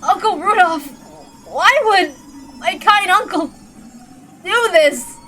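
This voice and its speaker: A woman's voice: very high-pitched, animated, slow, sharp tone, soft background music, pitched above the performer's own voice, exaggerated voice, poor audio. A woman voicing a frightened, wounded young man in a visual novel, pleading breathlessly through tears and pain. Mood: tearful, pleading. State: crying in pain. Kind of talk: dramatic visual novel character reading.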